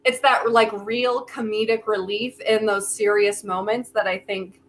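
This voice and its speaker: A woman's voice: mid-range voice, fairly even intonation, a little slow, a bit bright. A woman chatting informally on a video call, peppering her sentences with filler words like "like". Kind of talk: casual chat. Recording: faint noise on video call.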